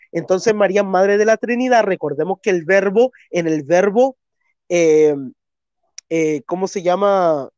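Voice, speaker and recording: A man's voice: high voice, a little mellow, no room sound, lo-fi sound. A Chilean man with a Chilean Spanish accent and a congested voice, hosting a live stream and arguing earnestly about theology. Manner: earnest, insistent, repeats phrases. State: congested, clearing throat. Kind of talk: live stream debate talk.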